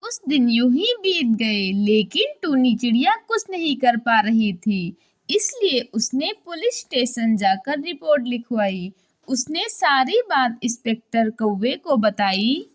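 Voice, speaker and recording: Woman, children's story narrator, high voice, lively pitch swings, slow pace, expressive, dramatic.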